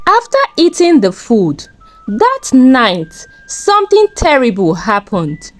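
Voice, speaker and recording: A woman narrating a scripted folktale in a dramatic, expressive storytelling manner. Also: high voice, slow pace.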